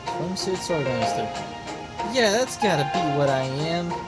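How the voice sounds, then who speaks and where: Guy playing a clueless game sword girl bluffing, hesitant, highish voice, loud music. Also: big pitch swings, measured pace, poor audio. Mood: unsure, bluffing. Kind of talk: read-aloud video game dialogue.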